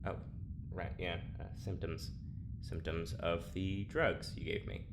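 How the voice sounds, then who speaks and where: Low-voiced, wry, rambling prisoner. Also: male voice, a little slow, background noise. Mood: rambling, deadpan. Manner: hesitant, rambling, deadpan sarcasm. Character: wry, awkward male space prisoner recording an audio diary. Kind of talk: naturalistic audio drama acting.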